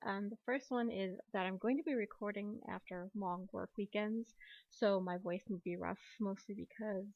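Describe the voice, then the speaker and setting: A woman in her thirties hosting her own podcast, talking in a chatty, quick way with frequent apologetic asides. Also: mid-range voice, dull audio. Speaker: podcast host. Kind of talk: casual solo podcast chat.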